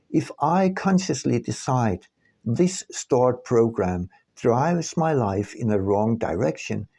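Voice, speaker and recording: Man, audiobook narration, unhurried pace, mellow dark tone, deliberate pauses. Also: medium pitch, vocal fry. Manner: measured, deliberate phrasing with pauses. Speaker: author reading own book aloud.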